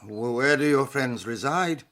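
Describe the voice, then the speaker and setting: A man's voice: mid-range voice, animated, slow, fairly bright tone. An actor playing a kindly, hospitable old blind man in an audiobook drama, courteous and formal in manner. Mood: gentle, reflective.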